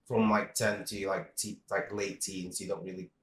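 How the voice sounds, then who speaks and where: A man in his thirties, from the Philippines, talking as a podcast guest in a relaxed, rambling way with many restarts. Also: deep voice, moderate pace, roomy sound, slight background noise. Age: 30s. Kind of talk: casual interview chat.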